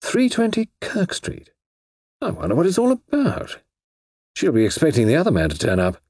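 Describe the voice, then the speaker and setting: A man's voice: a little high, wildly swooping pitch, normal speed, darkish tone, breathy edge, no echo, clean studio sound, pitched above the performer's own voice, exaggerated voice. A fussy, exasperated English author, as a male narrator puts him on, muttering to himself in a raised, huskily strained voice. His tone lurches widely between bewilderment and irritation. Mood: bewildered musing.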